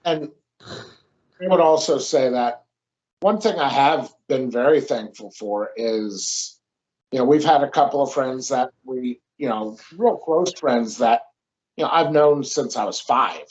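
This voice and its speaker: A man's voice: medium pitch, unhurried, darkish tone, slightly rough. A young man, a new father, talking casually and reflectively on a podcast, rambling with lots of fillers. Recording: dull lo-fi sound.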